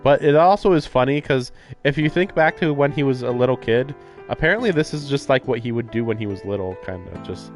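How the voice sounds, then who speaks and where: A man's voice: mid-range voice, brisk. Sardonic man streaming a game over music. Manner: sardonic, incredulous asides. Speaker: livestreamer reading and reacting to a visual novel. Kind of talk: casual game commentary mixed with reading narration aloud.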